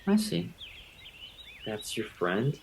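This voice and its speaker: A man's voice: mid-range voice, wildly swooping pitch, takes it slowly, gravelly, slight background noise, full character voice. A man voicing a naive young mouse in an audio drama, polite, hesitant and full of questions. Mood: curious. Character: naive young male pet-raised mouse, polite and unsure. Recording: faint outdoor ambience with birdsong.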